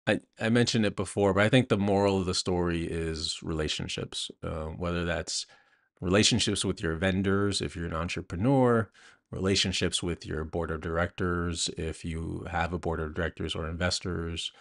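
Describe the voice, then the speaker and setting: An American man hosting a podcast in casual, unscripted talk, with halting, hesitant phrasing. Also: deep voice, steady tone, vocal fry. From United States. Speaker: podcast host.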